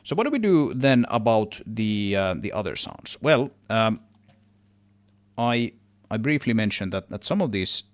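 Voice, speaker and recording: A man giving a casual software tutorial, thinking aloud, correcting himself and trailing off as he works. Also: on the low side, big pitch swings, a little slow. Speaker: tutorial presenter, game audio developer.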